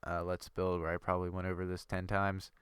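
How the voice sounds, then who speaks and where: A man's voice: deep voice, completely flat delivery, fairly quick, a bit bright, smooth, dry recording, studio quality. A young man with a stuffy nose from a cold, rambling and thinking aloud with lots of fillers while commenting on his game. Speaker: gaming video creator. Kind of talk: casual gameplay commentary.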